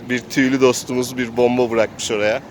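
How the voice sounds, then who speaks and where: A man's voice: medium pitch, level tone, brisk, slight background noise. A man improvising narration for a tabletop role-playing game, telling the action as if he were living it. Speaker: game master and player.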